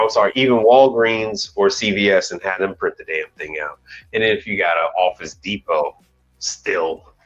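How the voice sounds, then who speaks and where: A man in his forties, a commercial appliance technician hosting a casual stream, rambling and swearing lightly as he restarts his sentences. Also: lowish voice. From United States. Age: 40s. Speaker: commercial appliance repair technician and channel host. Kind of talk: casual live-stream chat. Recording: dull lo-fi sound, faint music behind.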